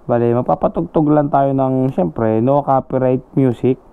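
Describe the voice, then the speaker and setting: A man's voice: mid-range voice, moderate pace, gravelly, clear, resonant tone. A man chatting informally to viewers as he tests a product, mixing in English, recorded on an action camera microphone fitted in a helmet. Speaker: vlogger. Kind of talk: casual product test chat. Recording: narrow, phone-like action camera sound.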